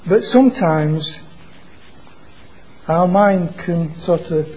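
A man preaching an informal sermon into a microphone at a church meeting, in a quiet voice that stalls and restarts on words. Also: a little high, breathy edge. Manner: halting, repeats and restarts words. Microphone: microphone being adjusted.

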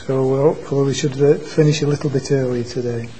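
A man preaching an informal sermon into a microphone at a church meeting, in a quiet voice that stalls and restarts on words. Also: medium pitch, steady tone, slight background noise, low-quality recording. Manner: halting, repeats and restarts words. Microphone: microphone being adjusted.

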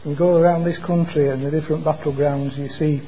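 A man preaching an informal sermon into a microphone at a church meeting, in a quiet voice that stalls and restarts on words. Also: on the high side, fairly even intonation, slight background noise, rough recording. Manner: halting, repeats and restarts words. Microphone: microphone being adjusted.

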